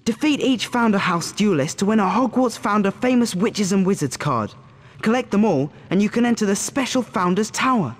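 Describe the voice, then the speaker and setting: A man's voice: sits high, animated, normal speed. A man voicing a persuasive, scheming teenage schoolboy wizard in a video game. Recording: game audio with long reverb.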